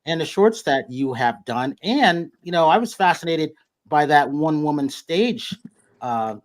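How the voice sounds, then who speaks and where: Brief: male host, rambling and meandering, slightly higher voice. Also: animated, a little slow. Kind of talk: casual interview.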